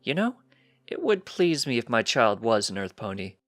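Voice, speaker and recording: A man's voice: mid-range voice, very animated, normal speed, fairly bright tone, no echo, studio quality, faint music behind. A man voicing a distracted, breezy male unicorn scientist, a doting husband in a storybook reading. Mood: cheerful.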